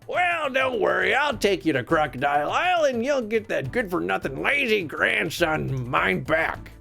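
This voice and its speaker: A woman's voice: fairly low pitch, big pitch swings, moderate pace, vocal fry, fairly bright tone, soft background music, pitched above the performer's own voice, exaggerated voice. A woman voicing a cranky old male ape grandfather from a storybook, grumbling and scolding in a folksy old-timer way. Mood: grudging.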